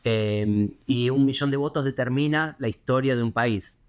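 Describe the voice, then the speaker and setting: A man with a Buenos Aires accent, a correspondent answering interview questions in a reflective, halting way with many fillers and restarts. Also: medium pitch, smooth. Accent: Buenos Aires Spanish. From Argentina.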